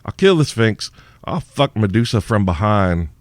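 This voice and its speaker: A man with a very low voice riffs through listener questions, crude and irreverent, rambling from one joke to the next. He sounds sleepy and worn out. The recording is dry and close, with no room sound. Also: sing-song, moderate pace, dry recording. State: sleepy, tired. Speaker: podcast host. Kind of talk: crude solo Q&A podcast.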